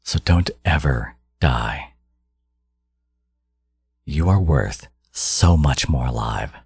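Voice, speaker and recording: A man playing a theatrical, possessive supervillain in a scripted audio roleplay, scornful and grandiose toward his archnemesis, with a husky voice. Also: very deep voice, expressive, slow pace, no room sound, full character voice. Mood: angry, possessive. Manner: grandiose, exasperated, dramatic emphatic delivery.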